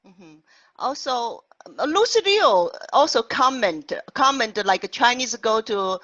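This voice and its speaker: A woman translator co-hosting an online poetry panel, speaking with a heavy non-native accent and often pausing to search for words. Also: a little high, sing-song, sharp tone, dry recording. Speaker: translator and co-host. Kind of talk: casual online panel discussion. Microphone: video call. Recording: dull, dry video call audio.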